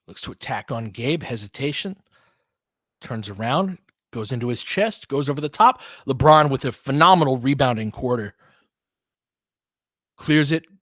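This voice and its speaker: Male basketball commentator, mid-pitched, informal and slangy, breaking down tactics as play goes on. Dry, close recording. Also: animated, moderate pace, pauses often, fairly bright tone, no echo. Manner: conversational, slangy, explains tactics in detail. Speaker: play-by-play announcer and analyst.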